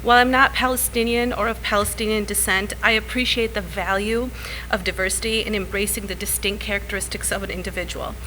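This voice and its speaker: A woman in her thirties gives a parent's prepared remarks at a public meeting. Her voice is bright and sharp-edged, sitting at a medium pitch. A slight humming noise runs behind her. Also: fairly even intonation, fast talker, poor audio. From Chicago area, United States. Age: 30s. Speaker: parent speaking in public comment. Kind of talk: prepared public comment speech. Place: school board meeting room.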